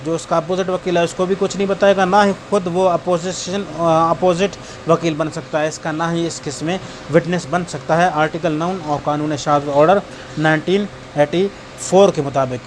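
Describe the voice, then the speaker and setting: A man with a Pakistani accent lecturing for exam students, reading out statutes haltingly and explaining them with everyday examples. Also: on the high side, steady tone, slight background noise. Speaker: law lecturer.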